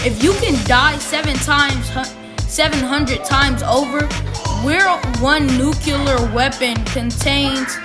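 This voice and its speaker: A woman reads a prepared speech aloud at a slow pace. Her voice sits high, and her delivery is deliberate and halting. Music plays clearly behind her.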